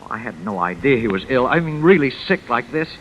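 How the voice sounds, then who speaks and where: A man's voice: mid-range voice, big pitch swings, brisk, lightly acted. An actor playing a solicitous theatrical manager who hides his guilt, in hesitant 1950s radio drama style. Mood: anxious. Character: smooth-talking, solicitous male theatrical manager hiding guilt. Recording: muffled, noisy vintage radio recording.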